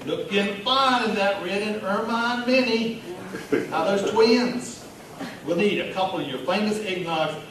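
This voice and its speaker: A man's voice: high-pitched, unhurried, recorded from a distance, crowd noise, pitched above the performer's own voice. A man performing a story monologue as a chatty, boastful small-town pet store manager, folksy, jovial and confiding. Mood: boisterous. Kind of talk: dramatic reading of short story monologue. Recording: very echoey room from afar, clear noise.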